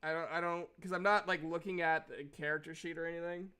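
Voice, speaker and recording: A man running a tabletop game as game master, explaining rules in an informal, halting way. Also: a little high, fairly even intonation, sharp tone, clean tone.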